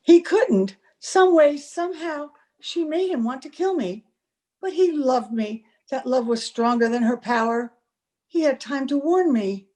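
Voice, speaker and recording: Brief: haunted young female witness, acted by a woman, high pitch, pleading, melodramatic radio-horror delivery. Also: expressive, a little slow, mellow. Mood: shaken, earnest. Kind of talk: old-time radio horror acting. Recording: home video-call recording.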